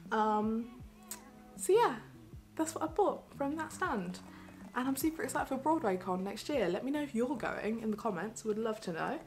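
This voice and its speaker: A young woman in her twenties, chatting excitedly and giggling through a haul vlog, filmed in a small bedroom by a camera facing her. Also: high-pitched, vocal fry. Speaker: vlogger.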